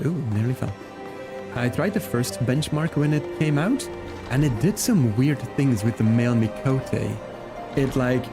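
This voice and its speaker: A man from Belgium who speaks English as a second language, chatting casually with viewers as a live game streamer. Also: mid-range voice. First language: not English, learned it from video games. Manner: chatty, greets viewers by name.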